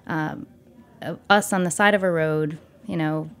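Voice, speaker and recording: A woman's voice: low voice, fairly even intonation, unhurried, clear, resonant tone. A woman in her thirties, a guest on an interview podcast, telling her story casually with many fillers. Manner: storytelling, thoughtful, with frequent fillers.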